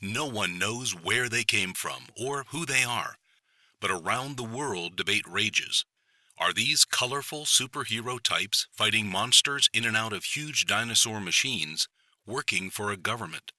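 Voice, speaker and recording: Man acting a sharp, forceful TV reporter. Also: lowish voice, expressive, unhurried, no echo, full character voice. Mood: portentous. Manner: rhetorical, portentous. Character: dramatic, urgent male television news reporter. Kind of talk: scripted news report in audio drama.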